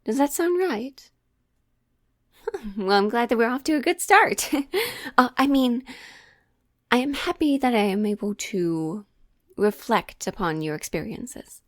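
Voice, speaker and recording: Slow-talking witch fortune teller played by a woman. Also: a little high, expressive, dry recording, studio quality. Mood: knowing, solemn, then amused, flustered. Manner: slow, deliberate, theatrical, with pondering pauses. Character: witch tarot reader, mysterious, teasing, gently bossy woman. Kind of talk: improvised audio roleplay, addressing the listener.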